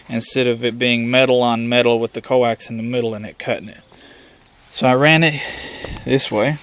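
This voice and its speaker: Man giving casual how-to, noisy recording. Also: mid-range voice. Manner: plain-spoken, colloquial, explains step by step. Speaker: presenter of a hands-on installation guide. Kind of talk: casual unscripted how-to demonstration.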